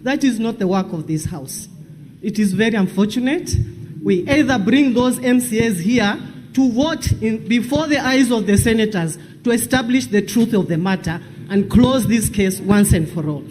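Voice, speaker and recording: A Kenyan senator, a man with a very high voice, delivers an emphatic formal statement and repeats his points. His Kenyan accent carries through a long reverberant hall with faint noise. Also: moderate pace, darkish tone. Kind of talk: formal parliamentary speech. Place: parliamentary chamber.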